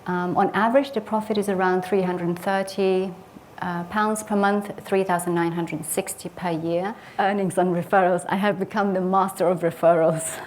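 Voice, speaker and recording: A woman's voice: fairly low pitch, a little mellow, slight background noise. A woman who grew up in Sweden, a property investor, giving a personal case study talk in an earnest, reflective way with frequent hesitant fillers.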